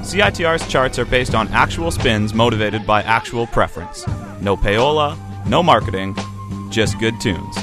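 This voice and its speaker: A man's voice: on the low side, animated, normal speed. A man reading a scripted radio station promo in a wry, punchy way. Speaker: promo announcer. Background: music.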